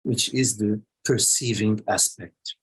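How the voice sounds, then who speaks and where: A man leading a guided meditation as a teacher, speaking in short phrases with long pauses between them. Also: lowish voice, fairly even intonation, breathy edge, low-quality recording.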